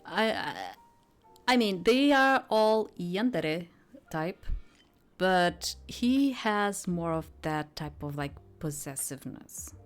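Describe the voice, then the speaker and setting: A woman streams a visual novel in a fairly low voice. She moves between reading the narration aloud and casual, chatty commentary. Soft music plays in the background. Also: lively intonation, slow pace. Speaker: game streamer. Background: game music.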